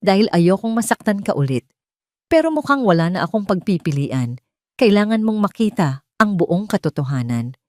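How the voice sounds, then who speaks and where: Woman acting a calm, sorrowful, scheming mother. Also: medium pitch, no room sound, studio quality, natural voice. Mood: sorrowful, gentle. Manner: gentle, mournful, measured. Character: calm, sorrowful, manipulative wealthy older mother.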